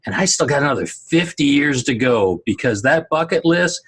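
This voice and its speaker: A man's voice: mid-range voice, lively intonation, moderate pace, fairly bright tone, slightly rough. A middle-aged man giving an impromptu speech on an online call, a joking, self-deprecating storyteller. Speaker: Toastmasters speaker. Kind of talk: impromptu table topics speech. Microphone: online video call.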